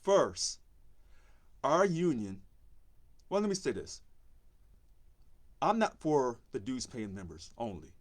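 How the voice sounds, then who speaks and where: A man with a deep voice, a union presidency candidate, speaking on a live stream and repeating key phrases for emphasis. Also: big pitch swings, takes it slowly, pauses often. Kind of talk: campaign speech on a live stream.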